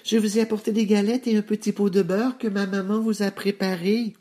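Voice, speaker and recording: A man's voice: high-pitched, flat delivery, brisk, warm tone, pitched above the performer's own voice, lightly acted. A man voicing a polite, innocent little storybook girl with a childlike, wide-eyed manner.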